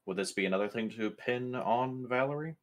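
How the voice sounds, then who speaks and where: A man's voice: mid-range voice, brisk. A man talking casually as a player in a tabletop role-playing game, speaking for his character.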